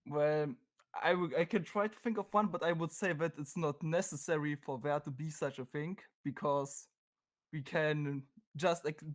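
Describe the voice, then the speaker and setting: A man's voice: fairly high pitch, fairly even intonation, moderate pace. A man from Germany with a German accent, a non-native English speaker debating on a call, hesitant and searching for words. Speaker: caller.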